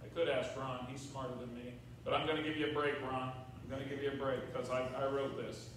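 An older American man preaching a sermon as a pastor, conversational, with repeated phrases and emphatic pauses. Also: medium pitch, little pitch movement, fairly bright tone. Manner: conversational, rhetorical questions with check-ins to congregation. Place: large church sanctuary, at pulpit. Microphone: far camera in the room. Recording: very echoey church, distant mic, faint noise.

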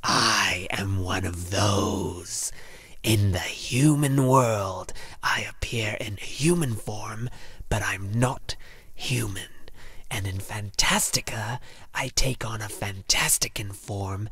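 A man voicing a dying werewolf in a storybook, bitter, menacing and weary, with a breathy, whispery growl. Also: on the low side, fairly bright tone, no room sound, full character voice. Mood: cold contempt. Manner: slow, drawn-out, scornful delivery.

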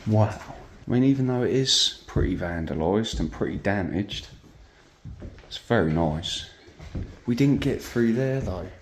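Man, very deep voice, matey and easily impressed, hissy handheld recording. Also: animated, a little slow. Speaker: urban explorer host. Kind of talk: casual exploring commentary. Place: dark abandoned old house, indoors and out.

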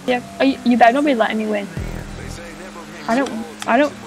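Young woman, high voice, playful self-deprecating gamer banter, faint music behind. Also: a little fast. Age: young adult. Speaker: gaming video host. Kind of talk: casual gameplay chat. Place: small room at home, indoors.

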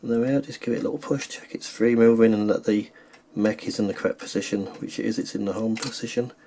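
A man's voice: fairly low pitch, fairly even intonation, fast, creaky, warm tone, slight background noise. A man narrating a hands-on how-to as a tutorial host, explaining plainly step by step.

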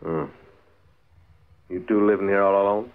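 A man's voice: low voice, steady tone, moderate pace, clean tone. An actor playing a coolly cruel frontier gunman in a 1950s radio western, drawling mockingly polite threats with an American accent. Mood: cold, mocking. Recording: muffled, phone-like 1950s radio recording.

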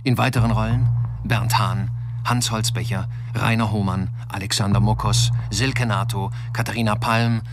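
A man's voice: lowish voice, steady tone, normal speed, background noise. A man reading scripted credits as a radio drama announcer.

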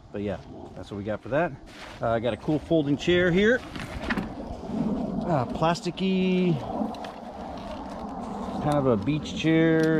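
A man's voice: medium pitch, noisy background. A man, chatting casually and rambling with jokey asides as he sorts through storage unit finds outdoors on a rural lawn, filmed on a body-worn camera. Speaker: storage unit reseller vlogger. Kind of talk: casual walkthrough commentary.

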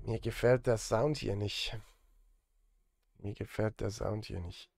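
A man's voice: on the low side, steady tone, takes it slowly, gravelly, darkish tone. A man in his thirties chatting casually on a livestream from a small studio, thinking aloud in informal murmured asides as he works. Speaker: livestreamer and film composer. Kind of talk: casual livestream chat, muttering while working. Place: small home music studio, indoors.

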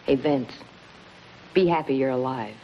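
An actress playing a hard, sardonic gangster's moll with terse talk in a 1950s television crime drama. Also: female voice, very deep voice, measured pace. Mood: eager. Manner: tough, terse. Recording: muffled, hissy 1950s TV soundtrack.

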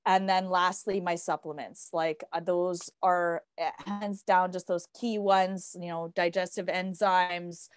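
A woman's voice: on the low side, fairly even intonation, unhurried, clean tone, no room sound. A woman co-hosting a podcast as a health coach, speaking in casual conversation.

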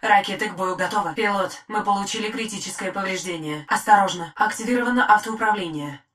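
A woman's voice: medium pitch, quick pace, fairly bright tone, close to an everyday voice. Woman voicing a formal female combat robot. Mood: composed. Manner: crisp, formal military reporting. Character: female combat robot titan AI, loyal, composed. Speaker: in-game battle announcer. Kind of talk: short scripted combat callouts.

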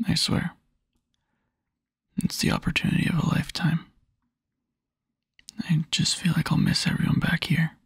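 Man acting a breathy, gravelly, lovesick young boyfriend. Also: fairly high pitch, completely flat delivery, no room sound, studio quality, full character voice. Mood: wistful. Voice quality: breathy, husky, near-whisper. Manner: soft, halting, intimate murmur with small chuckles. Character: tender young boyfriend, wistful and quietly lovesick. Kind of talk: intimate ASMR boyfriend roleplay. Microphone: close microphone, dry.

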